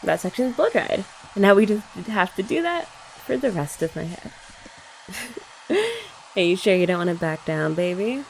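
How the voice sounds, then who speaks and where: A woman's voice: medium pitch, very animated, normal speed, gravelly, a little hiss or hum, poor audio. A young woman doing a chatty ASMR girlfriend roleplay, explaining each step and teasing her listener. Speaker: ASMR creator.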